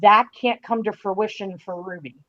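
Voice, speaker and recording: A woman's voice: medium pitch, fairly even intonation, moderate pace, fairly bright tone. A woman speaking casually as a guest commentator, over a muffled connection. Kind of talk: casual chat.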